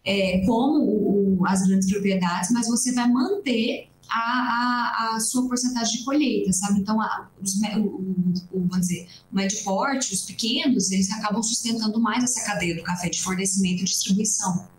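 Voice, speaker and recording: A woman's voice: mid-range voice, normal speed, hardly any pauses, a little hiss or hum. A young Brazilian woman, a coffee farmer, earnestly explaining at length on a video call from a small room at home, with frequent restarts. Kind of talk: explanatory interview talk. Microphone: laptop webcam on a video call. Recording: roomy video-call audio.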